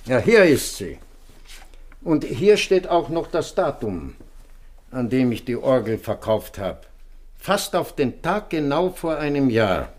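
An actor playing a courteous, wry and slightly crafty barrel-organ shopkeeper in a German radio crime drama, with a polite, hesitant manner. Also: male voice, medium pitch, sing-song, slow, a little mellow. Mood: helpful, confiding.

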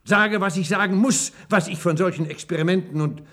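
An actor playing an old captive professor in a German science-fiction radio drama, bitter and sardonic, with an emphatic way of talking. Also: male voice, high-pitched, fairly quick, fairly bright tone. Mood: indignant, accusing. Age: older.

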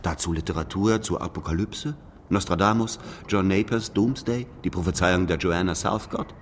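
Actor as smug, low-voiced master detective. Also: male voice, slight background noise, natural voice. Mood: coolly accusing. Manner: deliberate, superior, lecturing, needling. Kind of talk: German radio play dialogue.

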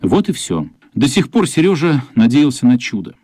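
A man's voice: medium pitch, sing-song, normal speed, darkish tone, breathy edge, no echo, soft background music. A man reading scripted narration for a radio play, recorded on a close studio microphone.

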